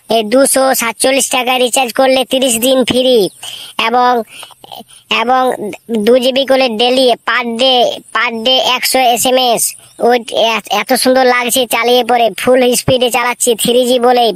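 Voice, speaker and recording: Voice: mid-range voice, fairly bright tone, no echo, lightly acted. An actor voicing an earnest, rousing cartoon schoolboy from a poor cowherd home, speaking in a repetitive, declamatory way. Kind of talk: comic cartoon speech with chanted slogans.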